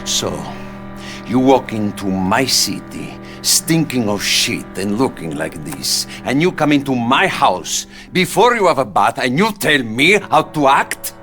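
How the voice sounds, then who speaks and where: Theatrical, swooping Italian crime boss. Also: male voice, mid-range voice, big pitch swings, measured pace, music in the background. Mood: contemptuous, imperious. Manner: theatrical, condescending, swinging between menace and mirth. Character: pompous, volatile Italian crime boss holding court. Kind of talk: dramatic video game acting.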